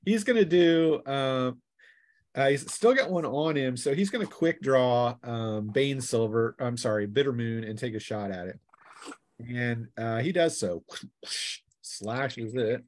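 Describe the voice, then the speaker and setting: A man's voice: mid-range voice, a little slow, darkish tone. A man acting as game master, narrating a tabletop role-playing game in a casual, teasing way.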